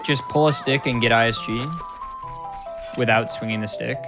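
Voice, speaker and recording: A man with a middling voice talks games in a relaxed, easygoing way and calmly walks through setup steps. Game music plays clearly behind his voice. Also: medium pitch, normal speed. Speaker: speedrunner guiding a challenge. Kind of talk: casual gaming chat.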